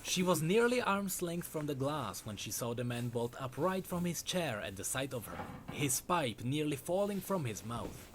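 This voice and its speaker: Expressive male storyteller with lively pitch swings. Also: normal speed, hardly any pauses, crowd noise. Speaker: story narrator. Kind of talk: dramatic scripted storytelling narration.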